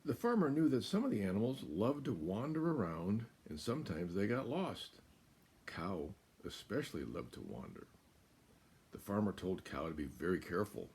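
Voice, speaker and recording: An older American man reading a children's picture book aloud, deliberate and expressive, with pauses. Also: fairly low pitch, breathy edge. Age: older, about 70s. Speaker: guest storyteller. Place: small room at home, indoors.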